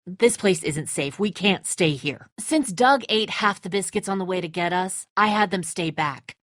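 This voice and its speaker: A woman's voice: lowish voice, lively intonation, moderate pace, fairly bright tone, no room sound, studio quality. A woman playing a tough, bitter, defensive apocalypse survivor in a dramatic video game, speaking in a terse, confrontational way. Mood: tense, urgent.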